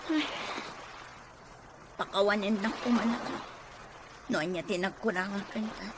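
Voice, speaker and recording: A woman's voice: medium pitch, moderate pace, background noise, natural voice. A woman playing a betrayed, suspicious wife in an Ilocano radio drama, emotional, accusing and pleading. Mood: tearful pleading. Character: betrayed wife, suspicious, wounded, confronting husband and mistress.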